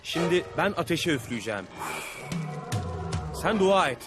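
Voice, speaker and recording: A man's voice: on the high side, big pitch swings, unhurried. A man playing a terse, urgent jungle guide in a dubbed cartoon, speaking in clipped short phrases. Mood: urgent, ominous. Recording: loud music over the dialogue.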